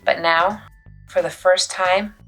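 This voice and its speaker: Woman, lower-pitched voice, expressive audiobook-style storytelling, dramatic pauses, music in the background. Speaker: youth services librarian reading a book aloud. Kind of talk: expressive storytelling narration of a children's novel.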